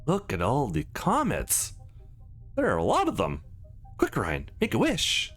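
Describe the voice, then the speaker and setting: A man's voice: medium pitch, wildly swooping pitch, a little slow, fairly bright tone, faint music behind, exaggerated voice. Man voicing an eager, sweet young woman. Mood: playful, excited.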